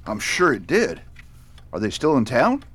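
An actor playing a steady, friendly town marshal in a western radio drama, asking questions in a folksy, matter-of-fact way. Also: male voice, mid-range voice, a little slow, slightly rough, exaggerated voice. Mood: businesslike.

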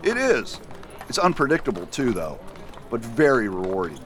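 A determined frontier marshal, played by a man in a Western radio play. His mid-range voice swings widely in pitch, commanding in a chase and earnest among friends. A faint outdoor hum runs underneath. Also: huge pitch swings, moderate pace, fairly bright tone. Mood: warm. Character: steady, determined male US marshal. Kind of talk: amateur radio drama acting.

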